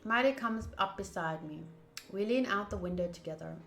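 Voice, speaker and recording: A woman streamer doing a game playthrough, reading the text aloud and commenting in an expressive, emphatic way. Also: mid-range voice, moderate pace, vocal fry. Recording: roomy echo, game music behind. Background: soft game music.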